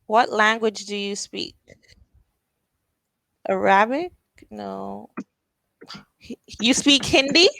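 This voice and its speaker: A woman tutoring English over a video call, speaking slowly and patiently and asking simple questions. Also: mid-range voice, gravelly, sharp tone, no echo, low-quality recording. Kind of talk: casual tutoring conversation.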